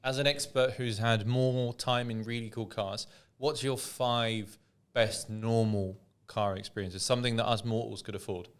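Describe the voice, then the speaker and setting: Relaxed man steering a podcast chat. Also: mid-range voice, normal speed, few pauses, clean tone. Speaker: podcast host. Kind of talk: casual chat.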